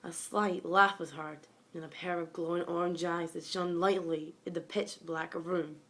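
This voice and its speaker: Bright-voiced young woman reading a story. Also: lowish voice, steady tone, moderate pace. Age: young, school age. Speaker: fan fiction reader. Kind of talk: amateur story narration. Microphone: phone.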